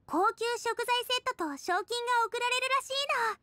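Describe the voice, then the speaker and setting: Cheerful anime game girl chef: extremely high, bright, eager and friendly. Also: moderate pace, no echo. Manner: bubbly, encouraging. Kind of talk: Japanese anime game voice acting.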